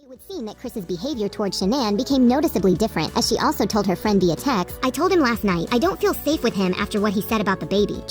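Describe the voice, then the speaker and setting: A woman's voice: medium pitch, animated, fast talker, few pauses, faint music behind. A woman reading a scripted true-crime news narration as a voice-over.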